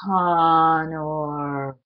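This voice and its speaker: A woman's voice: deep voice, fairly even intonation, very slow. Woman putting on an eerie, wild monster calling out. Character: wild, untamed male monster calling a boy by name. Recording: dull lo-fi recording.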